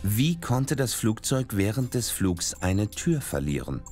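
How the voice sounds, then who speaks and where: A man narrating a documentary in a measured, grave scripted voice-over. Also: on the low side, sing-song. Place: voice-over studio. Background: dramatic documentary music.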